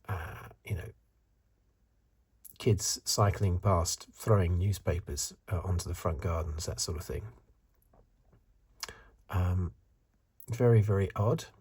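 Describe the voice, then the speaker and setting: A man giving soft-spoken, partly whispered ASMR review commentary in a breathy, hesitant, musing way. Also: low-pitched, slow pace, long pauses. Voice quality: breathy, hushed. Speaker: host of a film review video.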